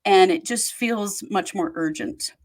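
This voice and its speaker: A woman's voice: mid-range voice, a little slow. A woman hosting a podcast, talking in a chatty, rambling way and laughing easily. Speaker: host. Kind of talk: casual podcast chat.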